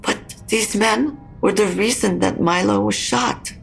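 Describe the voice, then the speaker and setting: An actress playing a frank, consoling older mother near death in an audio drama, speaking slowly and haltingly with a husky, creaky voice. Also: mid-range voice, soft background music. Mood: calm, probing. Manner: slow, halting, probing. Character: dying mother, frank, consoling, stubbornly insistent.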